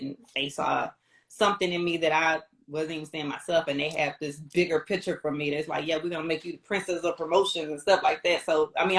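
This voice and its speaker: Middle-aged American woman, lower-pitched voice, informal interview guest, chatty first-person storyteller. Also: fairly bright tone. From Houston, Texas, United States. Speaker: interview guest, radio promotions representative. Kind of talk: casual conversational storytelling.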